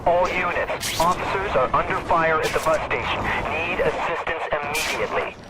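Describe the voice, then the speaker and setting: Police dispatcher, slightly high voice, clipped, really noisy radio. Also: male voice, moderate pace. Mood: urgent. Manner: clipped, procedural.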